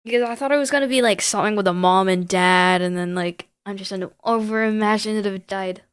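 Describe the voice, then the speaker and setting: A woman's voice: mid-range voice, a little fast, clear, resonant tone, no room sound. A woman giving casual, informal livestream commentary on horror games, thinking aloud in a hesitant way. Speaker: video game livestreamer.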